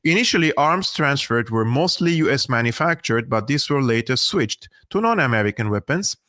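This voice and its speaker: Guy, live stream host, bright pushy voice, really emphatic. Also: mid-range voice, animated, moderate pace, dry recording, studio quality. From Syria. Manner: emphatic and argumentative, with restarts. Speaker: host of a political analysis channel. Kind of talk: live stream commentary, reading an article aloud.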